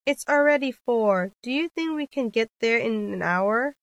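A woman's voice: a little high, lively intonation, moderate pace, no room sound, highs cut off. A woman carefully reading scripted dialogues and a passage for an English listening test. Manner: clear, careful textbook delivery.

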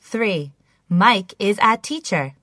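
A woman reads scripted listening-test items for language learners. Her voice is bright and lively in pitch, with careful, clear enunciation. The recording is close and dry. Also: slow, dry recording. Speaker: English listening test reader. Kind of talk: scripted language-lesson reading, each item repeated.

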